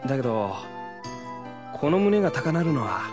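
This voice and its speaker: A woman voicing a hopeful, easily flustered teenage boy in a casual, expressive audio drama style. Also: a bass voice, normal speed, music in the background. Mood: hopeful narration. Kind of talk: scripted Japanese anime-style audio drama acting.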